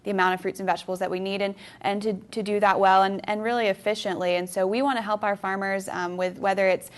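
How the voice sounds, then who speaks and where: A woman's voice: mid-range voice, little pitch movement, quick pace. Earnest woman guest on local TV. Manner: earnest, many ums and restarts. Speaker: local food council guest. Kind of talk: TV interview.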